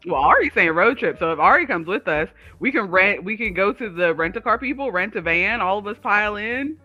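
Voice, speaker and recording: A man's voice: high-pitched, expressive, brisk, pushed and bright, dry recording, highs cut off, faint music behind. A man co-hosting a livestream, chatting casually in a rambling, digressive way. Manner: chatty, digressive, talks to live viewers. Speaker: livestream co-host.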